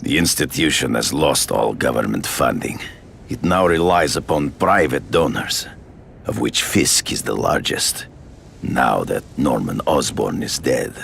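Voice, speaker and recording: An actor playing a proud, cruel older hunter villain in a game cutscene, taunting slowly with a deep, gravelly voice. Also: male voice, animated, slight background noise, full character voice. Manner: taunting, slow and deliberate, honor-bound. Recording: game cutscene audio with loud score underneath.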